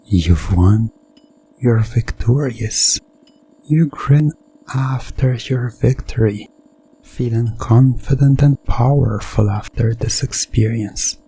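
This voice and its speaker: Slow, mellow man narrating hypnosis ASMR. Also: mid-range voice, breathy edge, low-quality recording. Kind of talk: slow hypnosis ASMR storytelling in second person.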